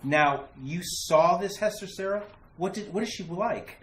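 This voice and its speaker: A woman's voice: lowish voice, a little slow, close to an everyday voice. A woman playing an earnest, protective man in a retro radio thriller, theatrical and emphatic. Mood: grave, urgent. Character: earnest, protective male companion, reassuring and insistent. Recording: echoey room with faint hiss.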